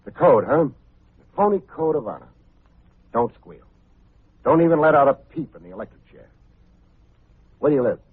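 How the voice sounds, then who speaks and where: A cool, sardonic male detective of classic radio crime drama. His mid-pitched voice is rough and unclear, with clipped, wry delivery. The old recording sounds thin and phone-like. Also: sing-song, normal speed, long pauses. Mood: pressing, cool. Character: wry, hard-boiled male private detective, needling and cool. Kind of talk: old-time radio detective drama acting with first-person narration.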